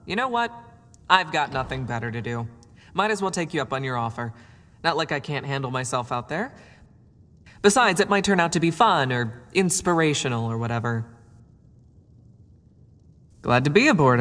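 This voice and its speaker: A man's voice: a little high, lively intonation, moderate pace, long pauses, fairly bright tone, dry recording. A man voicing a laid-back, self-deprecating orc writer and reluctant adventurer in a video game, with a wry, hesitant, understated delivery. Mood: casual, wry, then amiable, half-committed. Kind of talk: casual video game companion dialogue. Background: music behind the voice.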